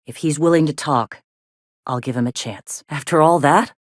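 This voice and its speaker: A woman's voice: low voice, moderate pace, dry recording. Blunt, weary rebel woman leader, dry close recording. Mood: reluctant, resolved. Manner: blunt, folksy, colloquial. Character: weary, plain-spoken rebel lieutenant woman turned leader. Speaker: video game character voice. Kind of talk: scripted game dialogue.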